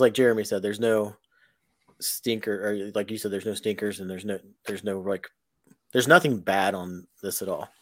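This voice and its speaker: A man co-hosting a casual music podcast, relaxed and agreeable with mumbled asides. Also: fairly low pitch. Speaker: podcast co-host.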